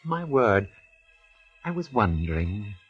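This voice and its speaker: A pompous, fussy sidekick in a 1940s radio drama, formal and theatrical. The husky voice swings up and down, on a dull vintage recording. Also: male voice, on the low side, sing-song, takes it slowly, no echo, soft background music, heavily acted. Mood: fussy, curious.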